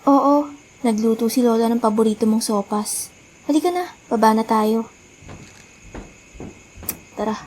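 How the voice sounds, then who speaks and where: Woman acting gentle teenage girl, caring, polite, earnest, high voice, radio play. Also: unhurried, pauses often, slight background noise, natural voice. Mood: chiding, cheerful. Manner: soothing, earnest, politely respectful. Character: gentle, caring, studious teenage twin sister. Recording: music behind the voice.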